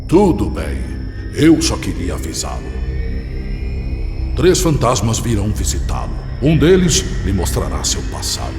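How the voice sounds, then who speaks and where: A man voicing the solemn ghost of a dead colleague in a children's cartoon, giving warnings through heavy reverb. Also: fairly low pitch. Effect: long reverb. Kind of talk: animated children's story acting. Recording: ghostly hall reverb over loud music.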